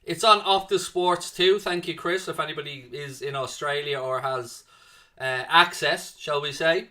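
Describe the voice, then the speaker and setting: Young South Dublin male stream host, bright, chatty, casual with the chat. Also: a little high, normal speed. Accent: South Dublin Irish. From Ireland. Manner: chatty, jokey, answers chat with "mate" and "man". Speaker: host of a football watchalong stream. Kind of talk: casual live-stream chat reading viewer comments.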